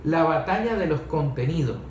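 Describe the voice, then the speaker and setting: A man's voice: fairly high pitch, lively intonation, moderate pace, a little mellow. A Venezuelan man discussing politics with opinions of his own, speaking emphatically and building up repetitive lists. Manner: emphatic, repeats phrases in lists. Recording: echoey room, distant mic, faint noise.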